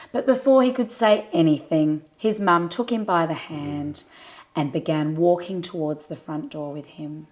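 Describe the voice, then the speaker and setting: A female storyteller with a slightly low voice reads a scripted tale for young children. Her delivery is expressive and addressed to little listeners.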